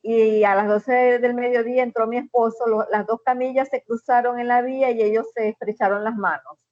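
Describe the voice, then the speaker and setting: A middle-aged woman with a Venezuelan accent, a guest in an interview, telling a personal story in an earnest, measured way with many "este" fillers. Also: a little high, steady tone, no echo, muffled recording. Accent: Venezuelan Spanish.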